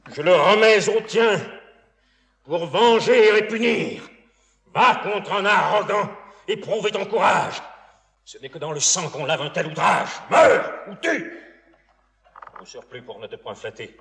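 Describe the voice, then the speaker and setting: A man's voice: medium pitch, fairly bright tone, natural voice. An actor playing a proud, insulted old nobleman in a classical French verse tragedy, declaiming grandly and emphatically. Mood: impassioned, vengeful. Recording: echoey hall with long reverb.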